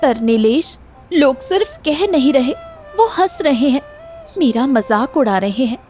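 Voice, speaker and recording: High-voiced earnest young village wife, acted by a woman. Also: expressive, measured pace, a little mellow, soft background music. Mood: hurt. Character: sincere, hopeful but hurt young wife in a village. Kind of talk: cartoon moral story voice acting.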